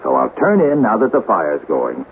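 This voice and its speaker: Wisecracking skeptic on crackly old radio. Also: male voice, on the low side, wildly swooping pitch, normal speed. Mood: dry, dismissive. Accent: American. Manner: wry, flippant, casual. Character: breezy, wisecracking male skeptic, ghost hunter's partner. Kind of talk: 1970s radio drama acting. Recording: noisy, narrow-band old radio broadcast.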